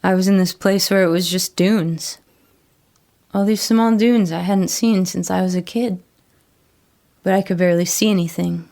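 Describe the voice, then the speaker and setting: Troubled, remorseful woman, horror drama confession: plain-spoken, earnest, lower-pitched, gravelly. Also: steady tone, moderate pace, long pauses, mellow. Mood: frightened confession. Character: troubled, remorseful woman struggling with addiction, pleading to be believed. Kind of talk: first-person horror audio drama monologue.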